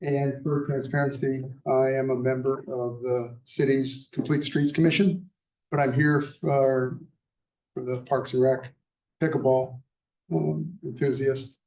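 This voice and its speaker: An older man, soft-spoken and halting with many fillers, giving a public comment at a city meeting. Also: medium pitch, flat delivery, vocal fry. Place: council chamber. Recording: echoey chamber, mic at a distance.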